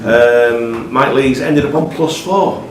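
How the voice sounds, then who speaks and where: A man's voice: medium pitch, slow, slight background noise. A man hosting a live pub quiz as quizmaster, reading out questions and ruling on answers. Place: pub room. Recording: echoey pub room, distant mic, music behind.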